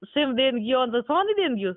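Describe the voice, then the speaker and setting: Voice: high-pitched, big pitch swings, fairly quick, no room sound. A person with a Rajasthani accent speaking informally on a phone call, asking insistent, repeated questions. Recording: muffled band-limited phone call recording.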